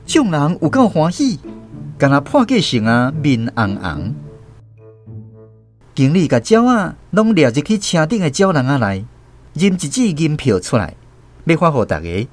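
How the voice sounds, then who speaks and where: A man's voice: a little high, big pitch swings, a little slow, faint music behind. A man with a Taiwanese accent narrating a radio drama as a scripted story.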